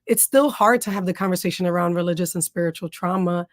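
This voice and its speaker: A woman hosting a podcast in a relaxed, casual way, filling her talk with "um" and "like". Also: lowish voice, fast, dry recording, studio quality. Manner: relaxed, many fillers like "um" and "like". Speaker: podcast host.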